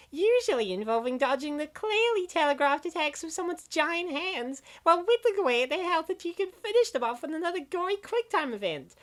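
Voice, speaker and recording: A woman voicing a sardonic, opinionated young female gamer in a comic dub, given to ranting about games. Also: sits high. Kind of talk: comic dub dialogue, gaming banter.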